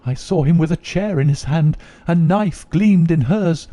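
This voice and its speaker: A man's voice: fairly high pitch, a little slow, warm tone, no room sound, pitched above the performer's own voice, lightly acted. A man reading as a remorseful young noblewoman. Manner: earnest, confessional. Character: troubled young noblewoman confessing.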